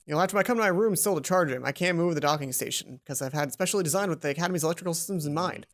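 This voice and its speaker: A woman's voice: sits low, very fast, lightly acted. A woman voicing a timid, heartbroken male student inventor in a visual novel. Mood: resigned.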